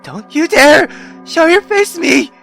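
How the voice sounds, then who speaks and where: A man's voice: very high-pitched, sing-song, unhurried, gravelly, a bit bright, soft background music, pitched above the performer's own voice, heavily acted. A man voicing a volatile, desperate young woman from a visual novel, in an overwrought way. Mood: furious. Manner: overwrought, pleading or snarling.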